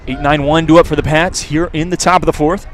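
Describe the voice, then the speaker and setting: A man's voice: on the high side, fairly even intonation, a little fast. A man with an American accent doing live radio play-by-play commentary of a baseball game as announcer. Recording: clear background noise from outdoor ballpark.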